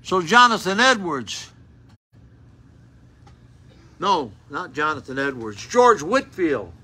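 An older man with a drawl preaches to a small church, recorded up close on a phone. His voice is high and very bright, and he throws out "huh?" and "you know what happened?" as he goes. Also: sing-song, slow pace, husky. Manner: folksy, rhetorical questions, loud unamplified voice. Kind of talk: informal sermon with Bible reading. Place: small church room, indoors. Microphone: phone camera close up.

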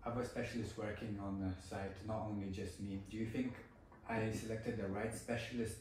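A man's voice: fairly low pitch, fairly even intonation, normal speed. A man in his thirties, a renovation business owner, courteously questioning a client with frequent hesitations. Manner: hesitant, many fillers, courteous. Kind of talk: interviewing a client. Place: home dining room, indoors. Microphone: far camera. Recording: echoey room, far from mic, noisy.

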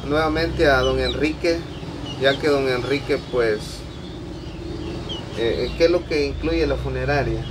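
A Guatemalan man in his thirties talks easily with the family, full of little fillers, at a middle pitch. He is picked up from a few steps away on an outdoor patio. Birds and outdoor ambience are clear behind him. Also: a little slow, pauses often, noisy background. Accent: Guatemalan Spanish. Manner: friendly, talks with many fillers like "va" and "pues". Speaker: host of a charity video channel. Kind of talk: casual on-site interview. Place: outdoor concrete-block patio of a home. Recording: distant camera sound, birds and ambience behind.

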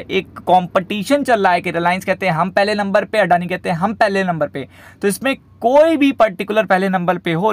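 A man's voice: sits high, no room sound. A man with an Indian accent giving explanatory market commentary as a video host, repeating himself and mixing in English terms.

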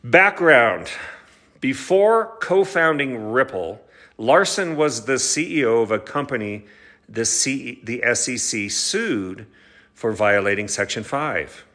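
A man's voice: mid-range voice, expressive, slow pace, fairly bright tone. A middle-aged man with an American accent, an attorney reading a legal document aloud with brief asides in a stop-and-start delivery. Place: large indoor living room with high ceiling.

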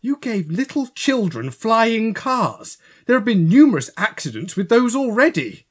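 A woman playing a stern, righteous archangel in a comic audio drama, husky, emphatic and reproving. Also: on the high side, big pitch swings, unhurried. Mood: outraged.

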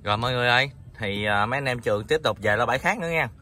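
A man's voice: mid-range voice, racing. A man with a southern Vietnamese Mekong Delta accent, a fishing vlogger chatting casually and easily as he narrates from a wooden boat on a river. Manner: chatty, easygoing, excited bursts. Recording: outdoor river noise.

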